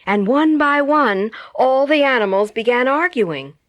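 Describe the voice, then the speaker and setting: A woman narrating a children's bedtime story as a storyteller, with expressive, dramatic and carefully phrased delivery. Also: high voice, measured pace, dry recording. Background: music.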